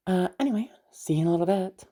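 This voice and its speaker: A woman playing a protective, easygoing big sister in an intimate audio roleplay, speaking in a halting, reassuring way with sighs and casual swearing. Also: fairly low pitch, dark tone, lightly acted. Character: protective, mysterious, easygoing big sister escorting a new acquaintance.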